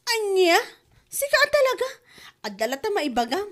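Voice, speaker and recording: A woman's voice: very high-pitched, wildly swooping pitch, normal speed, fairly bright tone, heavily acted. A woman playing a free-spending show-off in a comedy drama, speaking with an Ilocano Filipino accent and exaggerated delivery. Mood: breezy boasting.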